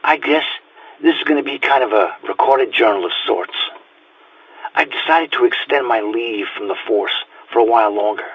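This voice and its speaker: Man acting a husky, world-weary detective. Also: mid-range voice, normal speed, no room sound, dull audio. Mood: weary, reflective. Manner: reflective, confiding, world-weary. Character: weary haunted male police detective nearing retirement. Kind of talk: audio drama recorded-journal monologue.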